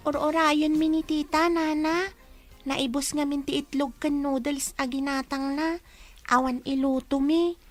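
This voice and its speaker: A woman's voice: sits high, steady tone, unhurried, soft background music. A woman playing a hungry, outspoken young orphan girl with an Ilocano accent in a radio drama. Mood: plaintive.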